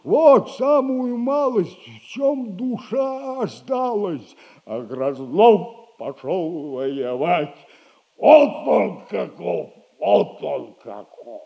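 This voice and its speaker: A man's voice: high voice, very animated, vocal fry, lo-fi sound, exaggerated voice. An actor playing a boastful old retired soldier in a theatrical radio comedy, telling rambling, self-admiring tales in an old man's voice. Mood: boastful, blustering. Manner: drawn-out, rambling, self-admiring storytelling with repeated catchphrase.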